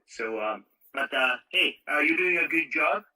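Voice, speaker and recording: Male radio-net caller, very forceful edgy tone with rasp, hesitant, speaking through a phone app. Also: fairly high pitch, expressive, a little slow. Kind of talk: casual radio chat. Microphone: talking into a phone through a walkie-talkie app. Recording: harsh, distant walkie-talkie app audio.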